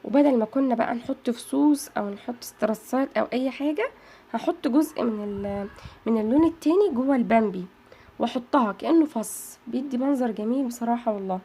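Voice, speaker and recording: A woman's voice: fairly high pitch, fast talker, warm tone, husky. A woman with an Egyptian Arabic accent hosting a chatty craft tutorial and talking straight to her viewers. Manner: chatty, addresses viewers as girls. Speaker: craft channel host.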